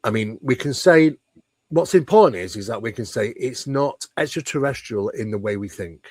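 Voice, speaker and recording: A man's voice: mid-range voice, expressive, moderate pace, a little mellow, slightly rough, no echo. A man speaking as an interview guest, explaining ideas at length in an emphatic, conversational way and often posing rhetorical questions. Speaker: interview guest, paranormal researcher. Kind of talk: conversational explanation of paranormal topics.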